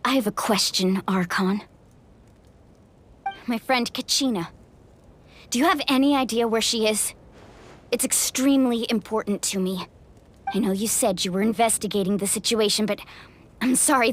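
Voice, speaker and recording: A loyal young heroine from a video game, played by a woman. Her voice is high and youthful, with an earnest, impassioned delivery that carries a fiery temper. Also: normal speed, pauses often, slight background noise, lightly acted. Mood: pleading, determined.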